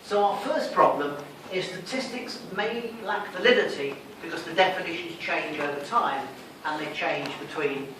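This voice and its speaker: A man's voice: fairly high pitch, moderate pace. A man giving a classroom revision lecture, explaining in a didactic way and answering his own questions. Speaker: sociology lecturer. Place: lecture room, recorded from a distance. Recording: echoey room, distant mic, noisy.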